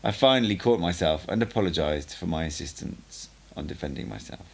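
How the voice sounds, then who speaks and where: A man narrates a book aloud in a low voice with a gravelly creak. His intonation moves around widely, and he reads in a measured, emphatic way, like an amateur audiobook reader telling a story. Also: animated, a little hiss or hum.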